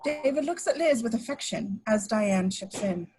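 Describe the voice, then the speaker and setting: Female narrator for stage directions: slightly high, husky, very animated, amateur table-read feel. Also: normal speed, soft background music, rough recording. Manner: stumbles over words and corrects herself.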